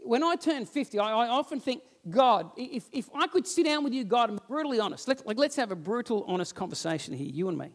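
A man, preaching a sermon on a church stage and telling stories with lively gestures while he walks about. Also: very high-pitched, fast talker, hardly any pauses. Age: 40s. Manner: animated storytelling, roams the stage gesturing. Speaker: pastor. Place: church stage with band instruments, indoors.

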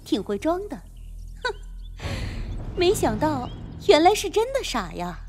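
一名女演员在广播剧中饰演冷酷、有心计、语带嘲讽的帮派女叛徒。. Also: female voice, high-pitched, huge pitch swings, measured pace, soft background music, exaggerated voice. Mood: taunting. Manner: taunting, coolly condescending. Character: cold, scheming female gang insider turned betrayer. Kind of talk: Chinese radio drama acting.

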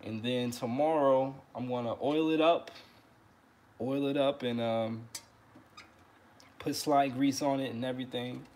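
A man in his thirties hosting a casual trumpet livestream from his living room, relaxed and friendly, often repeating words as he talks. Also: mid-range voice, unhurried, long pauses. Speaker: trumpet teacher and livestream host.

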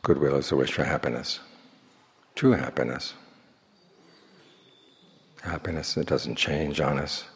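A man speaking as a Buddhist monk, leading a guided meditation in slow, measured phrases with long pauses. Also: a bass voice. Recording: long hall reverb.

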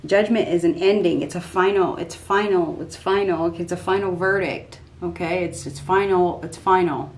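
A woman in her fifties giving an unscripted tarot reading at a desk in a small dark room, repeating herself emphatically as she thinks aloud. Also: on the low side, normal speed, few pauses, slight background noise, rough recording. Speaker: tarot reader.

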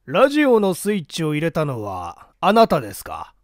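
A formal, probing police investigator voiced by a male narrator in a mystery audiobook. He questions inquisitively in a slightly high, animated voice with a dry, close sound. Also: moderate pace, dry recording.

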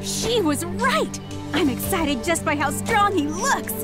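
A woman's voice: very high voice, lively intonation, full character voice. A woman playing an eager, bubbly young jiu-jitsu fighter in a video game, bouncy and enthusiastic in her delivery. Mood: excited. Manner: bouncy, enthusiastic, drawn-out exclamations. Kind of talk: video game cutscene acting. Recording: loud music behind.

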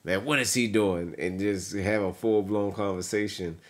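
A man's voice: on the low side, steady tone, moderate pace. A young man talking casually and in slang as the host of a reaction video. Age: young adult. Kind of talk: casual chat.

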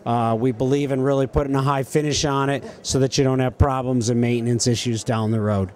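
A man's voice: medium pitch, steady tone, brisk, a little mellow. A man explaining products as an exhibitor in a trade show interview. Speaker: equipment exhibitor. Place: indoor trade show hall.

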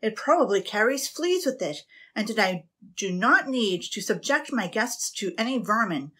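Storybook captain, male, jealous and pompous, blustering, read by a woman; bright, forceful tone. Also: medium pitch, expressive, takes it slowly, heavily acted. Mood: indignant. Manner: blustering, indignant.

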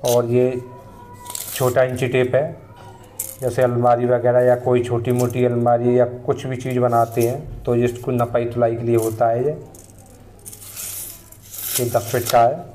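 A man in his thirties talks through his mason's tools in a plain, unhurried way, his voice staying level with little rise and fall. It sounds like an outdoor phone recording with faint background noise. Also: mid-range voice, flat delivery, dark tone. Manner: plain, unhurried explaining, pointing at each tool in turn. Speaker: mason and channel host. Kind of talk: casual tool demonstration. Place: paved courtyard outdoors, tools laid on the ground.

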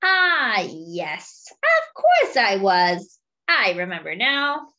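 A woman's voice: mid-range voice, huge pitch swings, extremely slow, pushed and bright, dull audio, exaggerated voice. A woman voicing a big, forgetful, gullible male elephant for a children's picture book, exclaiming and then hesitantly agreeing. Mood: puzzled.

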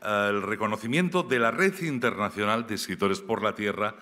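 Male awards presenter, lowish voice, forceful tone, reading formal text from notes. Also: moderate pace. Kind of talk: scripted announcement. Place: large theatre stage. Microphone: podium microphone. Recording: long theatre reverb.

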